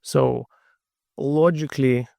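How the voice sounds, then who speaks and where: A middle-aged man with a Russian accent talks things through in a measured, thoughtful way, restarting his phrases as he searches for the right words. His mid-pitched voice has a gravelly edge. The sound is dry and close. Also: fairly even intonation, warm tone, no echo. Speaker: podcast guest. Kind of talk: casual interview conversation.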